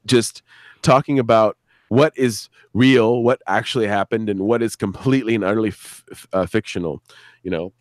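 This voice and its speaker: Low-voiced man in halting casual chat. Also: fairly even intonation, no room sound. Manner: hesitant, searching for words, frank and profane. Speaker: show creator and podcast guest. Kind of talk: casual live-stream chat.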